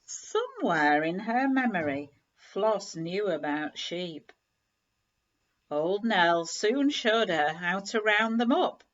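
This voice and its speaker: Woman, children's story reader, unhurried, animated intonation, slightly lo-fi sound. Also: fairly low pitch. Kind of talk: expressive children's storybook read-aloud. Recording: slightly dull, lo-fi recording.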